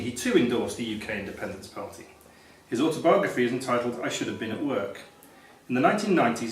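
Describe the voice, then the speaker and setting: Echoey male quiz master reading questions. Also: mid-range voice. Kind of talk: reading quiz questions aloud at a live pub-style quiz. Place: echoey hotel function room, live quiz. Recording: distant, very echoey room with background noise.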